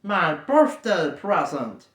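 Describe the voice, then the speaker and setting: A reader voicing a wretched, greedy cave creature who hisses and whispers, jumping from shrill squeals to low mutters. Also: sing-song, slow pace, a bit bright. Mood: gloating. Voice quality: hissing, whispery, lisping plurals. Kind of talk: audiobook character voice. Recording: roomy echo.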